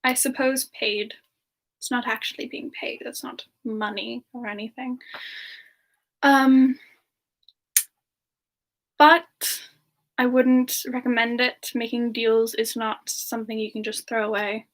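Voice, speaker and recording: A woman plays a young frost demoness with a high, soft voice. She talks slowly and hesitantly, with a sweet, affectionate temper, as if chatting gently with viewers on an in-character ASMR stream. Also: level tone. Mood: gentle, chatty. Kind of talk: semi-ASMR in-character live Q&A.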